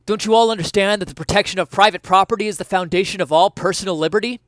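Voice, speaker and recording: Give the voice of a self-righteous hardboiled police detective as a man reads him aloud. He is raised in pitch, forceful and declamatory, barking earnestly in a parody crime story. The sound is close and dry. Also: quick pace, no room sound. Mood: commanding. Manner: earnest, declamatory, shouting commands.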